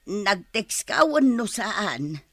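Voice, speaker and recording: A woman's voice: medium pitch, sing-song, very slow, no echo, heavily acted. A woman acting a nagging, fed-up village mother with an Ilocano accent in a radio comedy drama. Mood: matter-of-fact.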